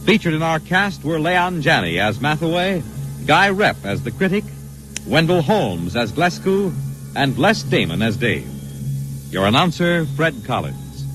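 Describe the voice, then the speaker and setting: A man's voice: fairly high pitch, big pitch swings, measured pace, rough recording. A man announcing from a script in 1950s radio style, with a polished, showy delivery. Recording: vintage radio broadcast, music behind. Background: clear music.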